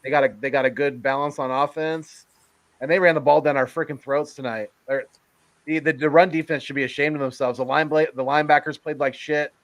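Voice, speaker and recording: A man's voice: on the high side, steady tone, fairly quick, a bit bright, no echo. A man talking casually as a sports fan, blunt and cursing about the team.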